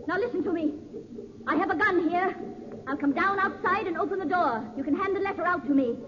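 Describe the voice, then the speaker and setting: An actress playing a cold, ruthless murderess who gives imperious orders in a 1940s radio drama. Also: female voice, very high voice, brisk. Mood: commanding. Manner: imperious, coolly menacing. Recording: muffled, noisy 1940s radio recording, reverberant.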